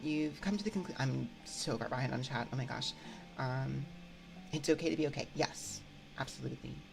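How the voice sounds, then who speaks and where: A woman hosting a casual livestream chat, thinking aloud in a hesitant, halting way into a close microphone. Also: very deep voice, gravelly, over music. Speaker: livestream host. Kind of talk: casual livestream chat, answering viewer comments. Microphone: close binaural microphone.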